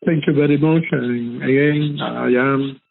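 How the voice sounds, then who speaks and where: A man's voice: mid-range voice, fairly even intonation, unhurried, creaky, a little hiss or hum. A middle-aged man talking sincerely and casually, with an emphatic way of repeating himself. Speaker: cybersecurity conference speaker being interviewed. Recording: tinny phone-like line.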